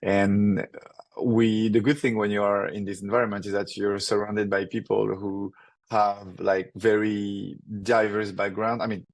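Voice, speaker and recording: A man's voice: on the low side, level tone, vocal fry, dry recording. A man in his thirties from France with a thick French accent, a startup founder answering interview questions casually, with many fillers and restarts. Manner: fast, filler-heavy, restarts often.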